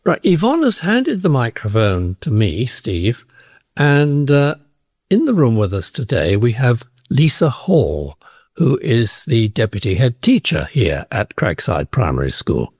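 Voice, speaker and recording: A man's voice: mid-range voice, wildly swooping pitch, a little slow, a little mellow, husky, no echo, clean studio sound. A man interviewing a teacher, asking questions hesitantly and stumbling over his words. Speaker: community radio interviewer.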